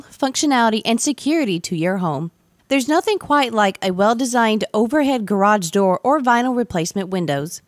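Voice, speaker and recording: Female ad voice, mid pitch, polished scripted read, dry close studio sound. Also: no echo. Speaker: ad announcer.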